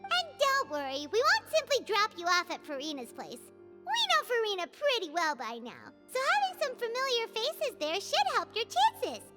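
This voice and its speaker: Squeaky, bubbly tiny fairy girl. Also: huge pitch swings, normal speed, over loud music, exaggerated voice. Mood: upbeat, helpful. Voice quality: squeaky, childlike. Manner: bubbly, third-person self-reference. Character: tiny cheerful floating fairy girl companion. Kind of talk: anime game voice acting.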